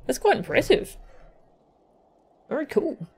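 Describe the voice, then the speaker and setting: A woman's voice: high voice, big pitch swings, measured pace, darkish tone. A woman streaming a game with casual, playful, chatty live commentary. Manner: playful, chatty, goofy sound effects. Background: faint game music.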